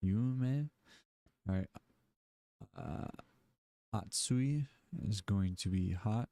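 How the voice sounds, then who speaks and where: A man on a casual livestream, repeating words aloud as he learns, laid-back and unhurried. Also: fairly low pitch, dark tone, dry recording, studio quality.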